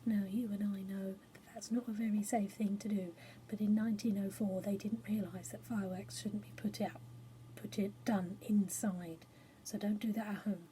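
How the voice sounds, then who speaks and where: A woman's voice: medium pitch, steady tone, moderate pace, mellow, a little hiss or hum, poor audio. An English woman chatting informally and reading a storybook aloud to children, self-deprecating and explaining things simply. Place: small spare room study at home.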